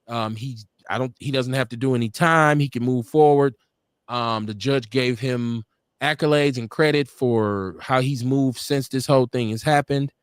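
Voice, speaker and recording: Man, podcast co-host, loose rambling talk, mid-pitched, dry close sound. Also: moderate pace, clean tone, no echo. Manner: rambling, self-correcting storyteller with a loose, laughing delivery. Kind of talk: casual chat. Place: home studio, livestream.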